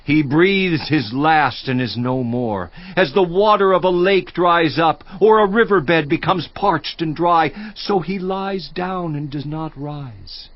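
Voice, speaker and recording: An actor playing a suffering man who mourns how short and troubled human life is, in a grave, slow recitation of dramatized scripture. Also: fairly high pitch, no echo. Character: suffering man lamenting his brief life. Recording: dry, slightly dull studio audio.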